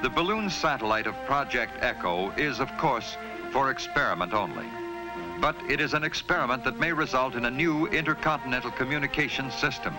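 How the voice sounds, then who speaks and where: A man's voice: medium pitch, lively intonation, fairly quick, low-quality recording. A man with an American accent reading scripted narration in the style of a 1960 documentary newsreel. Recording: old film soundtrack with music.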